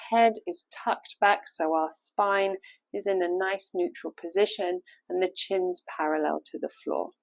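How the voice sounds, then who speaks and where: A woman leading a guided meditation, giving gentle step-by-step instructions in a soothing, unhurried manner. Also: medium pitch, dry recording, rough recording.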